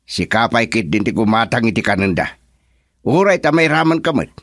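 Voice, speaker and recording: A man's voice: medium pitch, big pitch swings, normal speed, fairly bright tone, no echo, studio quality. An actor playing a stern older grandfather, blunt and scolding, with a Filipino accent, in a radio drama. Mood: firm, admonishing. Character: stern older grandfather scolding about his son-in-law. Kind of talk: Ilocano radio drama acting.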